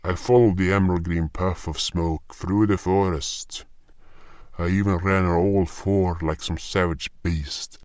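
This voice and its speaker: A man playing a grim, furry, clawed hunter who narrates a fantasy audio drama in a dramatic, deliberate way. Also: deep voice, fairly even intonation, a little mellow, no room sound, clean studio sound. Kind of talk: scripted first-person story narration. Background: faint music.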